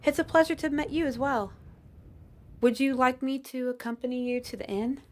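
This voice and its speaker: A woman voicing a shy, humble young peddler flower girl in a fantasy audio roleplay, speaking softly and politely with old-fashioned phrasing. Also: sits high, a little fast, natural voice. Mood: gentle, shy.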